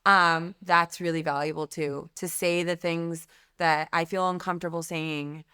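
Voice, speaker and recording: A woman's voice: fairly low pitch, steady tone, measured pace, sharp tone, clear, resonant tone, dry recording, clean studio sound. A woman guest speaking frankly and emphatically in a candid podcast conversation, swearing casually. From Lebanese background. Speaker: guest, company CEO.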